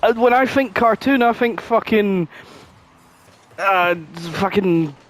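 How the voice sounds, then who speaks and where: A man's voice: sits high, slow pace. A man co-hosting a podcast in casual chat, exclaiming and swearing freely. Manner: foul-mouthed, exclamatory. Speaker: podcast co-host.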